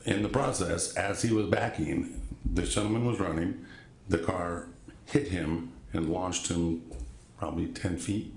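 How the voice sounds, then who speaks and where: A man with a deep voice testifying as a witness in court, halting and polite, often restarting sentences. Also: vocal fry, a little mellow, reverberant, a little hiss or hum. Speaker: trial witness.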